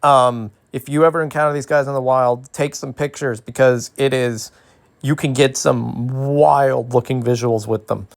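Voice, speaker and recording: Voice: medium pitch, steady tone, moderate pace, creaky. A host with a mid-range voice gives an educational ASMR talk. The delivery is informal and detailed, explaining things step by step and slipping in playful asides.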